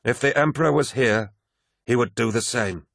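A man's voice: mid-range voice, moderate pace, gravelly, fairly bright tone, no echo, clean studio sound, pitched above the performer's own voice. A man voicing a defiant, sneering master of a warrior lodge in an audiobook, blunt and insistent.

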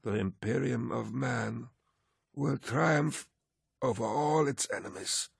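A man voicing a frail, defiant elderly ruler with a thick antique accent and a raspy voice in an audiobook. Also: mid-range voice, takes it slowly, dry recording, clean studio sound, pitched above the performer's own voice, full character voice. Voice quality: raspy and gravelly. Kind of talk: audiobook character voice.

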